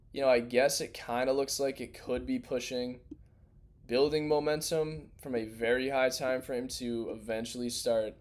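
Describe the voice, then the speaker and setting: A young man hosting a crypto livestream in loose, rambling, slangy casual chat, his voice worn and going hoarse. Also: medium pitch. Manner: loose, rambling, slangy, restarts mid-thought. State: losing his voice.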